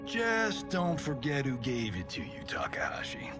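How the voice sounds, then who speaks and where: A man's voice: fairly high pitch, wildly swooping pitch, unhurried, music in the background. A man playing a cocky, flippant ally in a video game, talking in a wry, casual way. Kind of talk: video game cutscene acting.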